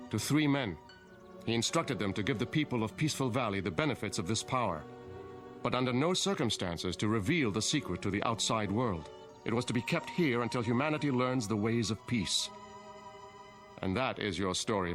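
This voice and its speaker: An actor playing a calm, formal man in a radio drama who politely explains and quietly threatens as guardian of secret science. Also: fairly low pitch, normal speed, over music, natural voice. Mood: polite menace.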